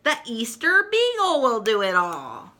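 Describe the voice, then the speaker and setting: A woman's voice: fairly high pitch, huge pitch swings, a little slow, pushed and bright, voice pushed up, exaggerated voice. A woman voicing an earnest, stubborn little boy in a children's story, matter-of-fact and insistent. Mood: earnest, certain.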